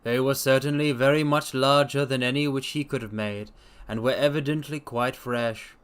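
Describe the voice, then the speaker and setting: A man voicing a sober Victorian doctor who recounts a strange incident in formal, measured storytelling. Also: mid-range voice, fairly even intonation, smooth, natural voice. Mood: sober, concerned. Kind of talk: in-character narration of a detective story.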